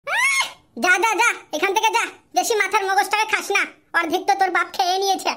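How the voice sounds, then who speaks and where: A performer voicing a scolding, sharp-tongued cartoon character in a comedy, with a pitched-up filter. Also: very animated, fast, full character voice. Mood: exasperated. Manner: brash, scolding, swearing. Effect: chipmunk-like pitch-up filter.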